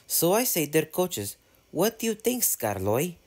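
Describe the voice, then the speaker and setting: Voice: mid-range voice, very animated, measured pace, a little mellow, voice pushed up. A narrator voicing Peter Sam, an eager, polite young male engine who chats on and on. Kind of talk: storybook reading.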